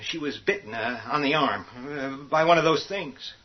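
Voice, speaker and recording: An actor playing an agitated, defensive father in a radio horror drama, high-strung and hesitant. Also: moderate pace, close to an everyday voice. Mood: anxious, upset. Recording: dull lo-fi sound.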